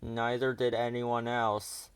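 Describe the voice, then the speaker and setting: A man's voice: medium pitch, monotone, slow, smooth, no echo. A man voicing a messy, unbothered young boy from a cartoon storybook, plain-spoken and droll. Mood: sad.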